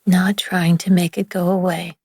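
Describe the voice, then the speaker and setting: A woman leading a guided relaxation meditation in a gentle, soothing, hushed manner with long pauses between phrases. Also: on the low side, little pitch movement, dry recording, clean studio sound. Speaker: yoga nidra meditation guide.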